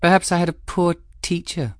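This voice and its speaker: A man's voice: on the high side, sing-song, a little slow, dry recording, studio quality, pitched above the performer's own voice. A man voicing a poised, scheming aristocratic woman who speaks with cool, cutting mockery. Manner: poised, cutting, lightly mocking. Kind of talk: audiobook character voice.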